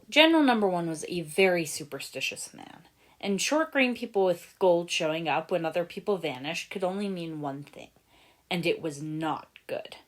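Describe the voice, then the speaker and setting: A woman reading a fan fiction story aloud as an expressive scripted narration. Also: lowish voice.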